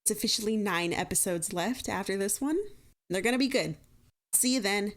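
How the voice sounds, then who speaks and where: Woman podcast host, mid pitch, chatty and informal, kinda playful announcements to listeners. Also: moderate pace. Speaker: podcast host and show creator.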